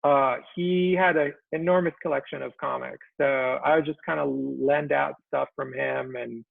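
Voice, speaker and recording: A man of about forty from Israel chats as a guest, halting and soft, leaning on fillers as his thoughts trail off. His voice is deeply gravelly and fried. It comes through a thin, phone-like video call with no room sound at all. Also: steady tone, no echo. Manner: halting and soft-spoken, full of ums and trailing phrases. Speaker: guest cartoonist. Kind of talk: casual chat. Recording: tinny dry call audio.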